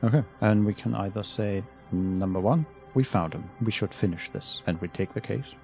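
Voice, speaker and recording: A man with a husky voice, co-hosting a game playthrough in a laid-back way, chatting and reading options aloud. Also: fairly low pitch, normal speed, mellow, soft background music. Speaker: let's play co-host.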